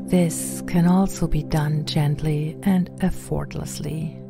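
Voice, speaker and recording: A woman with a low voice leads a guided meditation over clearly audible music. She speaks very slowly and softly, with a soothing, gentle delivery that turns breathy in places. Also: fairly even intonation. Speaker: meditation guide and podcast host. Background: background music.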